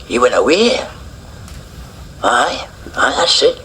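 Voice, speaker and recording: A man's voice: mid-range voice, very animated, slow pace, bright, forceful tone, slight background noise, full character voice. A rambling, coughing older man in a radio play. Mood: vague, wistful. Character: confused older man with memory gaps.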